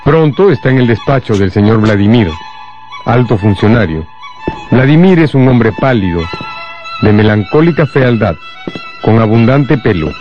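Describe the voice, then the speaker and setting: A man's voice: mid-range voice, animated, moderate pace. A man narrating a radio drama from a script, as a storyteller. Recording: dull band-limited sound, music underneath.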